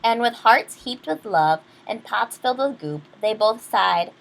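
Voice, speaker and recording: A woman reading a children's picture book aloud expressively, pausing to explain things to young listeners. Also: a little high, lively intonation, vocal fry, poor audio. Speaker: read-aloud storyteller.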